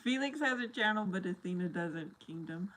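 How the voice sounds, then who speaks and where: A woman with a very young-sounding voice, talking casually to viewers during a livestream. Her pitch sits mid-range as she reads comments aloud and laughs easily. Faint ambient noise hums behind her. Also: lively intonation, normal speed, fairly bright tone, rough recording. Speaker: livestream host reading chat. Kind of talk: casual live chat with viewers.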